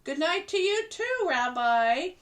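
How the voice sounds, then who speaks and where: Woman doing a high, fussing old granny. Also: sing-song, takes it slowly, recorded from a distance, heavily acted. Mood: fond. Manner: high, sing-song and fussing. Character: kindly old grandmother, warm and teasing. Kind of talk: storybook read-aloud.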